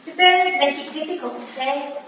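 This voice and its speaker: A woman's voice: high voice, moderate pace, sharp tone. A woman acting an earnest, eager young Victorian protege in a staged play reading, heard in an echoey room. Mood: curious. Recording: very echoey, distant room recording, faint noise.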